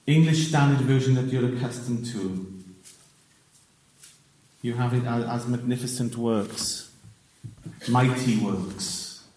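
A man's voice: medium pitch, warm tone. A man from South Wales with a Welsh accent, preaching a sermon as a visiting preacher, earnest and building to emphatic declarations. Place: church sanctuary. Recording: echoey church from far off.

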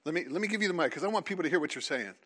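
A man leading a seminar before a live audience, talking in an animated, teasing way and calling on listeners. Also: a little high, racing. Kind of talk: lively creationist talk with audience.

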